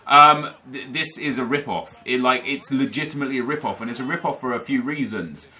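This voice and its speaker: A young man, talking casually to viewers about a football video game and reading their comments, energetic and opinionated. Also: mid-range voice, fairly quick. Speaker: gaming YouTuber.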